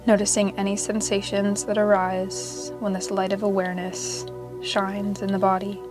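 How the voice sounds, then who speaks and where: Even-toned woman guiding meditation over music. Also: mid-range voice. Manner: slow, soothing, measured delivery with long pauses. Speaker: yoga nidra meditation guide. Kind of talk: guided meditation narration.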